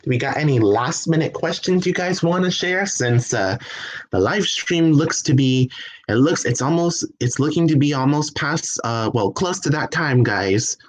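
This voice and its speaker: A man's voice: on the high side, lively intonation, moderate pace. A man from the United States hosting a casual livestream at home, answering viewer questions in a chatty way, often laughing and restarting his sentences. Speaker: livestream host.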